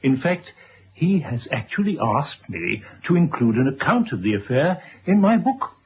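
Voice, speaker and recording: An actor playing a courtly, kindly older gentleman in a radio drama, speaking formally and hesitantly. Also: medium pitch, very animated, moderate pace. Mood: sombre, regretful. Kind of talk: 1970s radio drama acting. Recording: dull old radio recording, clear noise.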